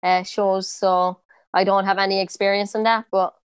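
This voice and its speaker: A woman in her twenties with a strong Cork accent, answering interview questions in a relaxed way with plenty of fillers. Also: medium pitch, normal speed, clear, resonant tone, dry recording. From Ireland. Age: 20s. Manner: relaxed, many fillers and restarts. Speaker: interviewee, footballer. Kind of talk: casual interview.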